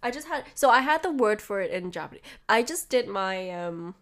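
A woman's voice: medium pitch, animated, normal speed, fairly bright tone. A young adult woman with a blocked nose and a slightly nasal voice, rambling casually on her podcast with lots of "like"s and restarts. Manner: chatty, rambling with frequent "like" and restarts. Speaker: podcast host. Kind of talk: casual solo podcast talk.

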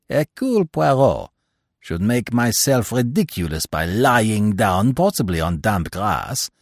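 A man's voice: on the low side, dry recording, studio quality, full character voice. A man doing Poirot, pompous fussy detective. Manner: pompous, self-assured lecturing. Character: vain, fussy male detective, middle-aged. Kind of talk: audiobook reading.

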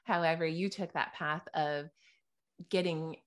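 A woman hosting a faith podcast, bubbly and quick to laugh. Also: deep voice, fairly even intonation. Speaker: podcast host. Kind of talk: upbeat faith podcast interview.

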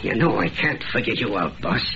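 A drunk american hobo murderer, slangy n cruel, sharp voice, old muffled radio drama. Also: male voice, on the low side, very animated, moderate pace, heavily acted. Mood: cocky. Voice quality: rough uneducated slangy diction. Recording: dull, noisy old radio recording.

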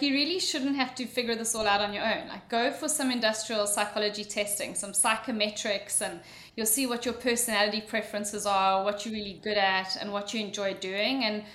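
A woman from Mauritius with a South African accent, telling her career story as a podcast guest in a relaxed, storytelling way. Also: medium pitch, brisk. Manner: relaxed storytelling, frequent ums. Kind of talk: conversational interview answer. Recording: echoey room.

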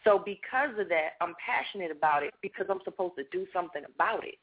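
A woman's voice: fairly low pitch, animated, fast, husky. A woman guest giving motivational, preachy advice about purpose in a radio interview. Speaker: guest, natural hair business owner. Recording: tinny phone line.

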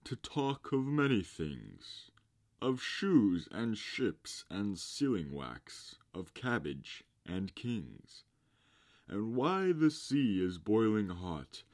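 A man voicing a pompous, coaxing walrus from a storybook, with a grand, drawn-out, theatrical delivery. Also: lowish voice, big pitch swings, darkish tone, pitched above the performer's own voice, full character voice. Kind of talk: storybook character reading.

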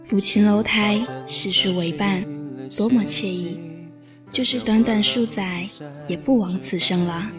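Refined young lady played by an actress, gentle and poised, bookish phrasing, period audio drama with music under it. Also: mid-range voice, fairly even intonation, a little slow, lightly acted. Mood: admiring. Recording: music behind voice.

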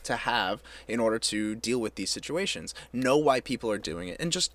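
A man's voice: medium pitch, animated, normal speed, fairly bright tone, no room sound. Young man, podcast co-host, casual rambling talk, profane, restarts often. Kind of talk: casual chat.

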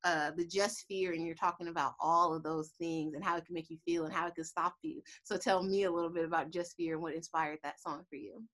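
A woman's voice: fairly low pitch, steady tone, brisk, few pauses, fairly bright tone. A young woman from Los Angeles interviewing a musician, chatty and relating his answers to her own life. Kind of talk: casual interview conversation.

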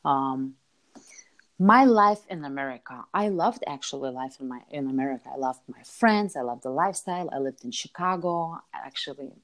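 Low-voiced Lithuanian woman chatting informally. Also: expressive, moderate pace. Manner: informal, repeats words for emphasis, seeks agreement with tags. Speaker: interview guest, entrepreneur. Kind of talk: casual conversational interview answers.

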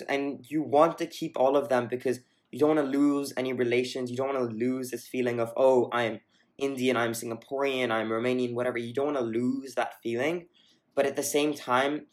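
A man's voice: medium pitch, fairly even intonation, moderate pace. A man co-hosting a casual discussion podcast, talking reflectively and hedging, with frequent restarts mid-sentence. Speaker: podcast co-host.

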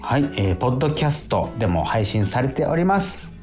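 A man's voice: medium pitch, sing-song, brisk, darkish tone, faint music behind. A man hosting a radio show in casual chat, with frequent backchannels and chuckling asides.

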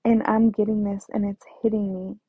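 A woman's voice: medium pitch, flat delivery, normal speed, breathy edge, dry recording. A woman telling her own life story in a hesitant way, with many fillers and restarts. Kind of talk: personal storytelling. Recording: tinny phone-like dry sound.

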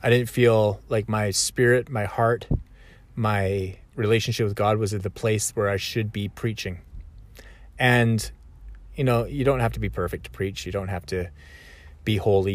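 A man talking reflectively in a conversational, self-correcting way. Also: fairly low pitch. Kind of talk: reflective solo talk.

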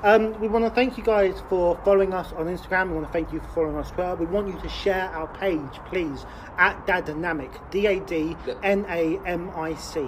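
Man in his late twenties, light mid-pitched voice, sweary rambling podcast chat, traffic noise behind. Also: steady tone, brisk. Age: late 20s. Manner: sweary, rambling, laughs often. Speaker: podcast co-host. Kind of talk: casual chat.

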